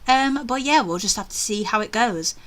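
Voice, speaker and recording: A young woman hosting a let's play, reading a visual novel aloud with expressive, jittery, casual reactions. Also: on the high side, normal speed.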